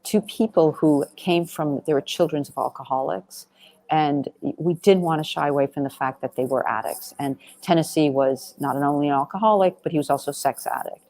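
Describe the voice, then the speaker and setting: A woman's voice: low-pitched, fairly quick, darkish tone. A woman answering interview questions as a film director, talking in a conversational, emphatic, rambling way peppered with "you know". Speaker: documentary director being interviewed.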